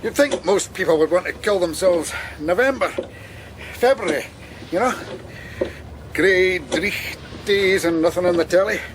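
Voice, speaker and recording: A man's voice: high-pitched, measured pace, long pauses. An actor playing a chatty river boatman in a radio drama, with a Scottish accent and a folksy, darkly humorous manner. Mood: wry, chatty. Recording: noisy outdoor river boat sound effects.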